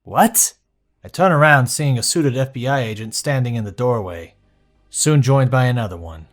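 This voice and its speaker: An actor playing a weary, sceptical police officer, narrating and acting with a dry manner in an audio drama. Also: male voice, medium pitch, expressive, moderate pace, lightly acted. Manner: dry, sardonic first-person narration.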